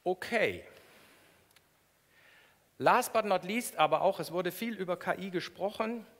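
A middle-aged man giving an academic keynote, explaining in a halting way with frequent hesitations. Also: high voice, fairly bright tone. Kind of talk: academic lecture, explanatory, semi-spontaneous. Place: lecture hall in front of an audience. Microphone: headset microphone. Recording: reverberant lecture hall sound.

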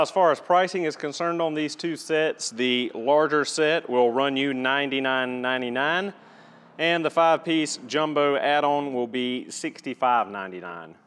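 A man's voice: mid-range voice, normal speed, a bit bright. A man giving a casual, matter-of-fact and methodical product review as he presents tools.

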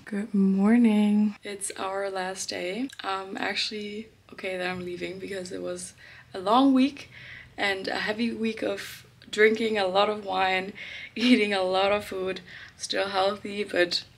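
A woman's voice: medium pitch, little pitch movement, measured pace, creaky. Chatty young woman vlogging at arm's length. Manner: chatty, upbeat, says "literally" and "really" a lot. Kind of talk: casual vlog to camera. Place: hotel room. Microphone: handheld camera at arm's length.

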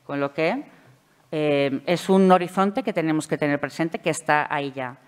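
A middle-aged woman with a Valencian Spanish accent giving a conference presentation from a table mic, measured and explanatory with frequent hesitation fillers. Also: sits low, clean tone. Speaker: speaker at a public administration conference. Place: conference hall, seated at a table. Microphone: table microphone.

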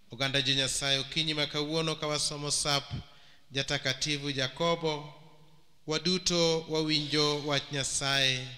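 Slow-preaching Kenyan priest in echoey church. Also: male voice, medium pitch, pushed and bright. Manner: slow, measured preaching with long pauses, switching into Luo. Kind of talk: homily at Mass. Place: large church hall. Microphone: stand microphone and handheld mic. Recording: church hall with long reverb.

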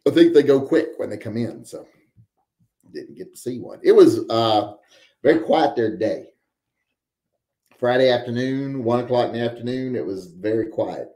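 A man's voice: mid-range voice, expressive, moderate pace, long pauses, mellow, roomy sound. A man in his forties hosting a live stream from home, reading viewer chat and rambling with wry joking asides. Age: 40s. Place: home room with guns on the wall.

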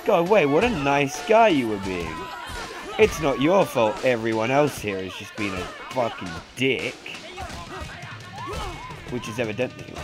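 A young man narrating his gameplay, sarcastic and sweary, with a stuffed-up nasal sound. His delivery rises and falls in a lively way as he riffs along, and faint game music sits behind him. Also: mid-range voice, measured pace, pauses often. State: congested. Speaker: let's play commentator. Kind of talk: casual gameplay commentary.

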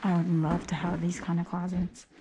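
A woman's voice: lowish voice, completely flat delivery, moderate pace, noisy background. A woman touring an old house at an auction, chatting to her camera as a vintage paper reseller, gushing over finds and sometimes whispering. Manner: chatty, gushing exclamations, some whispered reading. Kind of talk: casual walk-through vlog. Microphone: body-worn action camera.

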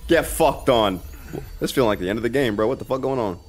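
A man's voice: mid-range voice, expressive, quick pace. A man giving casual, slangy, profane and incredulous reaction commentary on a video game. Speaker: gaming commentator.

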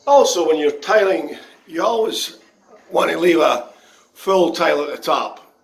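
A man reviewing a contractor's work in a handheld phone walkthrough of a half-tiled bathroom, speaking in a halting, critical way with many pauses. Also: on the high side, expressive, gravelly. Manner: slow, halting, frequent pauses and restarts; disgruntled, critical. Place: bathroom under renovation, indoors. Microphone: phone camera held in hand.

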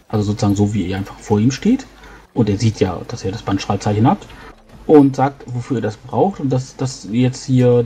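Man with a mellow, halting delivery. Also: medium pitch. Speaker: tabletop role-playing player. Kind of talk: improvised in-character discussion in a tabletop game.

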